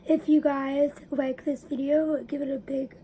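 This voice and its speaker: A young woman vlogging to her viewers, her voice raspy from allergies, explaining earnestly and sometimes dropping to a whisper. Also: high-pitched, level tone, measured pace, dark tone, low-quality recording. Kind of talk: personal talk to camera.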